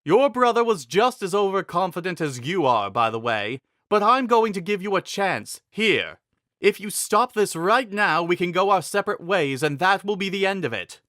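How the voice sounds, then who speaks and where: A brave warrior king acted by a male narrator speaks in a raised, forceful voice. He is earnest and emphatic, firm but merciful, in a fantasy audiobook. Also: high voice, big pitch swings, moderate pace, no echo, clean studio sound, pitched above the performer's own voice, heavily acted. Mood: confident, warning. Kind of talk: dramatic fantasy audiobook reading.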